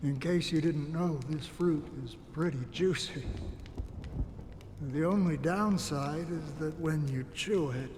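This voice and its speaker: An actor playing a mild, curious male traveler in a game, talking gently and conversationally. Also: fairly high pitch, takes it slowly. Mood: gentle, puzzled. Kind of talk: quiet game dialogue. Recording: long reverb, faint ambient noise.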